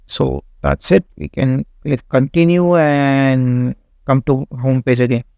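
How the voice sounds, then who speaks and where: A man, not a native English speaker, hosting a game walkthrough in a halting way with many fillers and restarts. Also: medium pitch, lively intonation, a little mellow, clear, resonant tone, dry recording, studio quality. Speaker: gaming channel host. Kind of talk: casual game walkthrough commentary.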